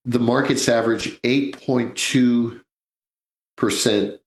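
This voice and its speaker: A man's voice: medium pitch, steady tone, slow pace, echoey room, low-quality recording. A man in his sixties hosting a live financial radio show, chatty and joking, calling his listeners folks. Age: 60s. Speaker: radio host, financial advisor.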